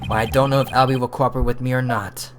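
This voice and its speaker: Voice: mid-range voice, steady tone, fast talker, a little hiss or hum, lightly acted. A game player voicing an earnest young male defense lawyer while reading game dialogue aloud, pleading and sincere. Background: game music.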